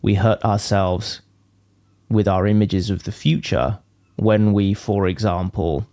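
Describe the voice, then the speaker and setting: Male meditation teacher, unhurried slow delivery, deliberate pauses, dry close sound, guided spiritual instruction. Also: on the low side, fairly even intonation, warm tone, no echo. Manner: measured, deliberate phrasing with frequent pauses.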